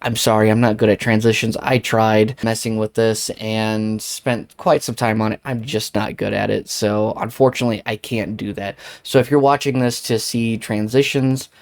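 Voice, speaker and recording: A man hosting a casual software tutorial, speaking in an informal, conversational and somewhat hesitant way. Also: lowish voice, level tone, moderate pace, hardly any pauses. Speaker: tutorial host.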